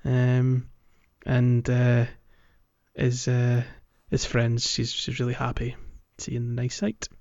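A man co-hosting a podcast, chatting casually and explaining a video game playthrough in a halting way. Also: medium pitch, completely flat delivery, vocal fry, a little mellow. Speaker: podcast co-host. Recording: dull lo-fi audio, music underneath. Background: background music.

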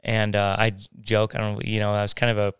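A man telling his faith story in a casual interview, halting and restarting his sentences often. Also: fairly low pitch, completely flat delivery, smooth, dry recording, studio quality. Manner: halting, many restarts and fillers.

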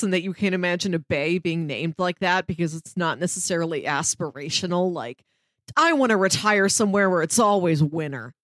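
A woman's voice: medium pitch, dry recording, clean studio sound. A woman co-hosting a podcast, casually retelling and discussing a story in loose, conversational speech peppered with filler words. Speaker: podcast co-host.

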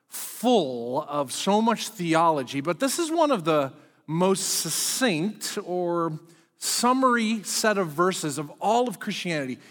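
A man's voice: a little high, long reverb tail. A middle-aged man preaching a sermon as pastor, candid and self-deprecating in a conversational way.

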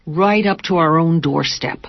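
Low-voiced reflective woman on radio. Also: a little slow, gravelly, dry recording. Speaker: radio drama cast member. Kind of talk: scripted community radio drama. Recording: dull lo-fi radio with faint music.

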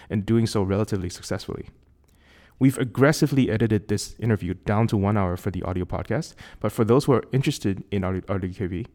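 Man with a Singaporean accent, lowish voice, articulate podcast host, reading a prepared intro. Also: warm tone. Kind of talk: scripted intro then casual interview. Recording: lower quality recording outside a studio.

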